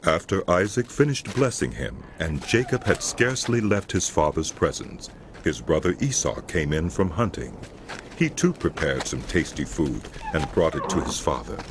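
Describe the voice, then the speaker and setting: A man's voice: low voice, sing-song, normal speed, a little hiss or hum. A man narrating scripture as a dramatic, scripted audio production. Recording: loud music behind the voice.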